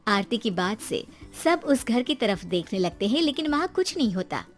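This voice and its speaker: A woman's voice: a little high, very animated, normal speed, soft background music. A woman narrating a scripted story in a dramatic storytelling style.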